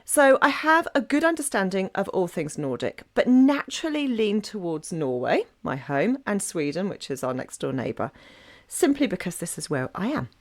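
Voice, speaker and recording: Woman, English accent, podcast guest, chatty, rhetorical questions, lively pitch. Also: moderate pace.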